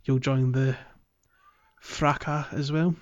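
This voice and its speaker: Male podcast co-host, medium pitch, relaxed conversational delivery for casual gaming chat, slightly muffled lo-fi recording. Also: slow.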